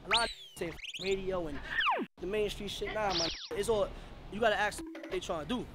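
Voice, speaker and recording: A man's voice: high voice, steady tone, brisk, background noise. A man, a rapper, talking emphatically in street slang and repeating himself for emphasis. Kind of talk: casual interview.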